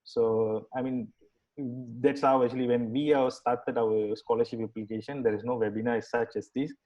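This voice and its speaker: A man's voice: mid-range voice, little pitch movement, normal speed. Man, webinar host, rambling casual talk, restarts often, dull video-call sound. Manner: rambling, many fillers and restarts.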